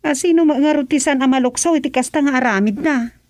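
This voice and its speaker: Lady acting a judgy ilocano village gossip, radio drama, high voice, dry sound. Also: fast talker, a little mellow, no room sound, natural voice. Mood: disapproving. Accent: Filipino, Ilocano. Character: disapproving village woman gossiping about a turncoat.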